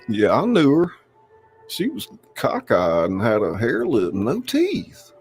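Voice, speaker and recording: A man playing a brash, teasing male outlaw who talks in a loud, mocking way. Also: mid-range voice, huge pitch swings, slow pace, husky, soft background music, pitched above the performer's own voice, full character voice.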